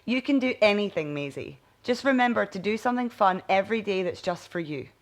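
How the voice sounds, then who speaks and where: A woman's voice: medium pitch, animated, a little fast, natural voice. A woman voicing an affectionate, earnest young woman scientist who encourages her friend in a bedtime story. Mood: tender, earnest.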